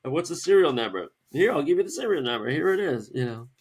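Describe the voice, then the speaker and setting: A man's voice: on the high side, big pitch swings, fast, a little mellow, slightly rough. A man co-hosting a podcast about comics, talking in a casual, conversational way. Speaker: podcast co-host and comic writer.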